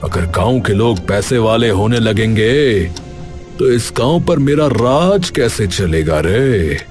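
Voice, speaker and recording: A man's voice: fairly low pitch, animated, slow, a little mellow, soft background music. A man voicing a greedy, cruel and domineering village headman in a cartoon story, menacing and taunting as he talks. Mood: boastful, domineering.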